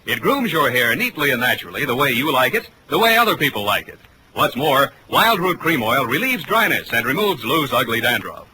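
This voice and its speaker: A man's voice: mid-range voice, bright, forceful tone, a little hiss or hum, rough recording. An American man announcing in polished 1940s radio style, reading sponsor copy and credits like a salesman. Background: music.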